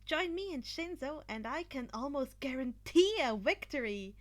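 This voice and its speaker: A woman's voice: sits high, animated, moderate pace. A woman voicing an earnest, determined teenage boy who talks persuasively and politely, in a fan fiction reading. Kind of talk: fan fiction podfic character voice.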